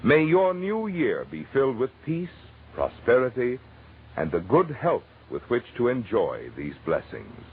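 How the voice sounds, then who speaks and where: A man's voice: medium pitch, huge pitch swings, unhurried, husky. Tinny vintage radio announcer, a man. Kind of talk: 1940s radio announcing, scripted sponsor message. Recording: tinny, hissy old radio broadcast.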